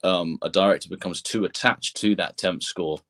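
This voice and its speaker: A man's voice: sits low, fairly even intonation, moderate pace, fairly bright tone. A man giving discursive explanations as an interview guest, often restarting and repeating words as he goes. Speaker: composer and sound engineer, interview guest.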